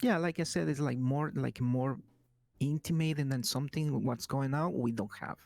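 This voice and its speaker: A man from Ecuador with a highland Spanish accent, chatting casually as a caller and hunting for his words in English. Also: vocal fry, darkish tone, faint music behind. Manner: halting, searches for words. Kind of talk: casual chat.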